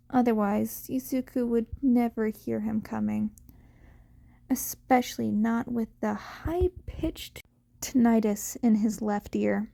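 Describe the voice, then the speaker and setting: A woman's voice: on the high side, creaky, dark tone. A woman reading fiction aloud as an amateur, in scripted story narration.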